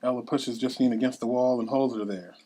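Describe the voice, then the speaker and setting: A narrator delivers scripted stage directions for a table read. The voice is of middling pitch. The recording is noticeably noisy, with small clicks. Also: steady tone, a little fast, mellow.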